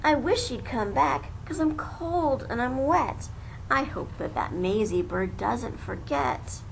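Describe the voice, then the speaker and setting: Woman playing an earnest, lively storybook elephant. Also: high voice, slow, vocal fry, exaggerated voice. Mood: forlorn. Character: huge, gentle, earnest, loyal male elephant. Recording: noisy amateur home recording.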